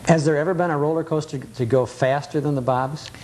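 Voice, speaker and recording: A man's voice: fairly even intonation, a little fast, darkish tone. A man hosting a television show, chatting casually and nostalgically with folksy exclamations. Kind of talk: casual nostalgic chat over old film. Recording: noisy rumbly 1980s cable TV audio.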